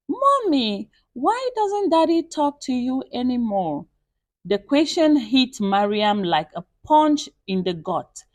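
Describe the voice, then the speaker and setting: A woman telling a dramatic scripted story with expressive, deliberate phrasing. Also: a little high, dry recording. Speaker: storyteller and channel host.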